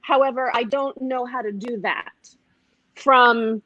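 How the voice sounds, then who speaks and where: A woman's voice: fairly high pitch, steady tone, unhurried, sharp tone, dry recording, dull audio. A woman from a comedy troupe in a casual video chat, chatty and self-mocking.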